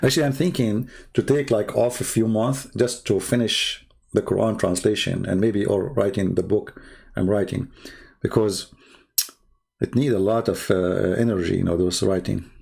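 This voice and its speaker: The sort of croaky-voiced man heard on a late-night religious debate stream, a native Arabic speaker with an Arabic accent, picking texts apart with scornful disbelief. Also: lowish voice, steady tone, normal speed, darkish tone. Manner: mocking, incredulous, with rhetorical questions. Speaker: host of a religious commentary show. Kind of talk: informal polemical commentary on read-out texts.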